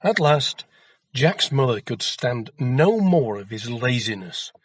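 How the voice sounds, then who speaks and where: Male folktale narrator for children: measured, expressive, husky, recorded close and dry. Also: mid-range voice, no echo. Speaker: storyteller.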